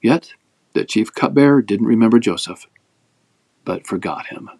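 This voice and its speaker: A man's voice: on the low side, expressive, normal speed, creaky, darkish tone, dry recording. Man reading scripture aloud, dry sound. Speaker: host of a daily Bible reading.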